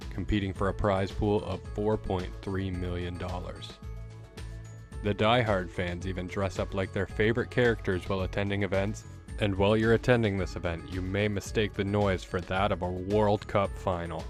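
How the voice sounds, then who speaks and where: A man's voice: deep voice, steady tone, normal speed. A man delivering scripted documentary narration. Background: music.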